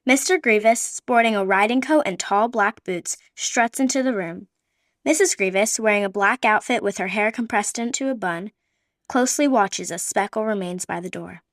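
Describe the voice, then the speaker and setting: A woman narrating a radio play with even, descriptive storytelling. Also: mid-range voice, normal speed, dry recording, clean studio sound.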